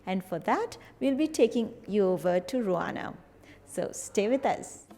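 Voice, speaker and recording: A woman's voice: mid-range voice, expressive, measured pace, loud music behind the voice. A woman hosting a TV interview, enthusiastic and asking questions with some "uh" and "um" fillers. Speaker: TV show host. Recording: reverberant hall with faint ambience.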